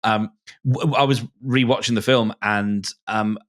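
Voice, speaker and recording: A middle-aged man talking casually and enthusiastically about books and films, in halting, stop-start phrases. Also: on the low side, steady tone.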